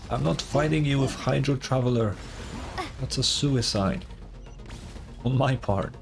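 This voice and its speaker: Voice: mid-range voice, a little slow, gravelly. A game streamer giving casual live commentary in an informal, rambling way, often repeating words. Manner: informal, rambling, hesitant repetitions. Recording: game music behind the voice. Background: game music.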